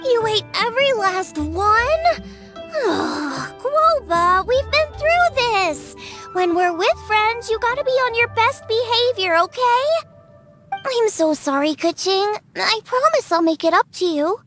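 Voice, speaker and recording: A woman's voice: very high-pitched, animated, slow pace, music in the background, heavily acted. A woman voicing an eager, bubbly, food-loving young girl chef in an anime-style video game, exclaiming emphatically. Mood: scolding, apologetic.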